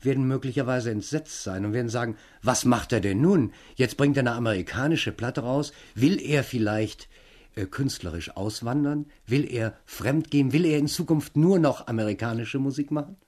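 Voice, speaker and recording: A man's voice: mid-range voice, normal speed, a little mellow. A man interviewing a guest on the radio, posing articulate questions in full sentences.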